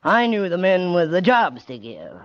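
An American actor playing a bitter, vengeful bookkeeper in 1940s radio drama, taunting and mocking with quiet menace. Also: male voice, sits high, very animated, normal speed. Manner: taunting, mocking, with sly chuckles. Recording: dull, lo-fi old radio recording.

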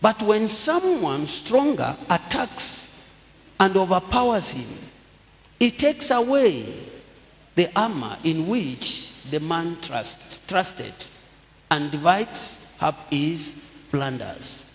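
A middle-aged pastor speaks with a slightly raised voice and a measured, unhurried delivery, prompting his congregation to repeat after him. He preaches into a handheld microphone, and his words ring out with a long reverb through a large church hall. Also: on the high side, big pitch swings. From Zambia. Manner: slow, deliberate preaching with call-and-response prompts. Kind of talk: sermon.

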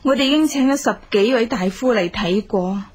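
An actress playing a rich man's concerned, gentle wife in a radio drama, with a breathy voice and a Hong Kong Cantonese accent. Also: on the high side, moderate pace. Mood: worried, soothing.

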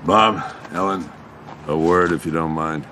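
Cartoon film agent: older, weary, deadpan, very deep and slow. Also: male voice, a little hiss or hum, full character voice. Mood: grim. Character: weary older male government agent, grave and taciturn. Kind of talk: animated film dialogue. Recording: film soundtrack with music behind.

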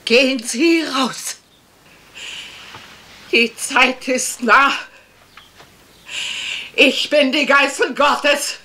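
A fanatical, deranged housemaid declaims scripture like threats in a radio mystery. Her voice is high and sharp, her delivery slow and preaching, with a faint noise underneath. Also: heavily acted. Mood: fanatical, menacing. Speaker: actor playing a housemaid. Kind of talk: German radio crime drama acting.

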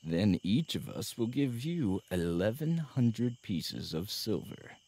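A shady ancient warlord, creaky low voice, slow, plotting, bible audio drama. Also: male voice, big pitch swings, warm tone, heavily acted. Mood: scheming. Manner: slow, conspiratorial delivery. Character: scheming male Philistine leader, bribing and menacing.

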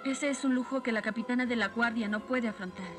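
Woman as proud young cartoon heroine, high voice, dramatic, remorseful, Latin American accent. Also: steady tone, fast talker, over music, natural voice. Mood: resigned. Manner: earnest, dramatic. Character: proud, guilt-ridden young warrior woman captain.

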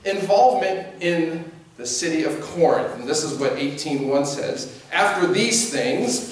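Animated preacher in an echoey church. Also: male voice, high-pitched, recorded from a distance, slight background noise. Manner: animated, conversational preaching with gestures. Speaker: pastor. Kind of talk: sermon. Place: church sanctuary at a pulpit. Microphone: distant camera mic.

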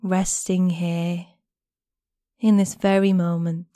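A woman guiding a meditation in a gentle, soothing, unhurried manner with long pauses between phrases. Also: fairly low pitch, little pitch movement, dry recording, studio quality. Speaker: guided meditation instructor. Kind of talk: guided body scan meditation narration.

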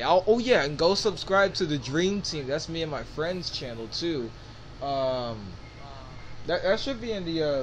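A man's voice: mid-range voice, slight background noise, low-quality recording. A man chatting casually about his old videos, rambling and laughing often, over a console chat mic at home. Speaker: channel owner.

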